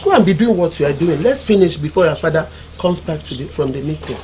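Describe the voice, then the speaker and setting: A woman's voice: low voice, expressive, fast, background noise. An actress playing a middle-aged village mother with a Nigerian Igbo accent, giving frank, admonishing counsel in a film drama. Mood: knowing. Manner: proverbial, admonishing, emphatic. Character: worldly-wise village mother counselling her daughter, frank and knowing.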